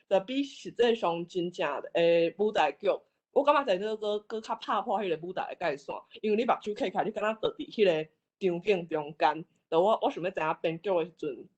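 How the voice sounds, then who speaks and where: A woman with a Taiwanese accent asking and commenting casually in an online meeting, eager and halting. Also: medium pitch. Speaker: audience participant.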